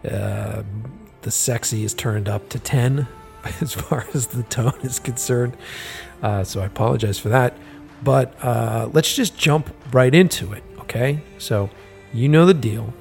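A man with a raspy, hoarse voice hosting a podcast, rambling casually with lots of ums and uhs. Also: medium pitch, unhurried, darkish tone, soft background music. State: hoarse from a busy week. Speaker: podcast host.

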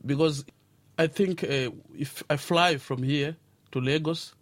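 A man's voice: on the high side, a little slow, no room sound. A man with a South African accent answering spontaneously as a radio interview guest, explaining his views in a thoughtful, hesitant way.

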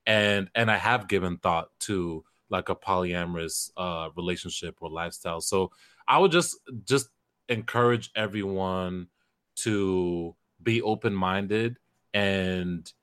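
A man's voice: deep voice, measured pace, fairly bright tone, clean tone. A man from Kenya co-hosting a casual podcast conversation, talking thoughtfully with many fillers and restarts. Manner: reflective, frequent fillers and restarts. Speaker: podcast co-host.

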